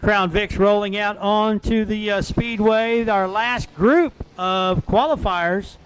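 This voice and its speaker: A man's voice: high-pitched, takes it slowly, slight background noise. A man working as a dirt track race announcer, calling out drivers, car numbers and lap times in live commentary. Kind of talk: live motorsport commentary over a PA, reading names and times.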